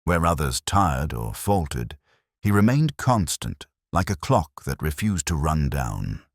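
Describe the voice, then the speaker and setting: A man reading a scripted dramatic mystery story in a measured, grave manner. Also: a bass voice, big pitch swings, no room sound, studio quality. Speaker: audiobook narrator.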